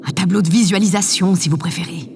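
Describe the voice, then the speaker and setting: A woman's voice: on the low side, fast, vocal fry, darkish tone, soft background music. A woman playing an imperious, self-absorbed female president in an audio drama, theatrical, commanding and condescending. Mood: lecturing, smug. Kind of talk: scripted audio drama acting. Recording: long hall reverb, faint noise. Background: faint ambient noise.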